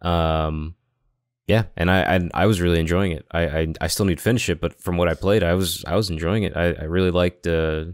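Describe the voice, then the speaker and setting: A man's voice: low voice, fairly even intonation, a little fast, no room sound, studio quality. A man chatting casually as a co-host on a gaming podcast.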